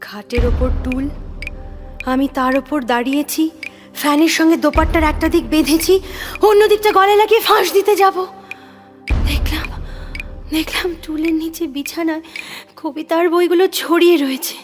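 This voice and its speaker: A very high-voiced, poetic and troubled young woman, played by an actress in an audio drama. She speaks with brooding intensity, halting and repeating words as if fragile. Music plays clearly behind her. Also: natural voice. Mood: wistful, brooding. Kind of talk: Bengali audio drama acting.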